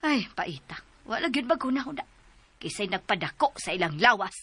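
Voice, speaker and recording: A woman's voice: mid-range voice, sing-song, a little fast, bright, forceful tone, breathy edge, heavily acted. A woman with a Filipino accent playing an exasperated, worried mother and mistress of the house in a radio melodrama, emphatic and scolding. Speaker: radio drama actor. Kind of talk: melodramatic radio drama acting.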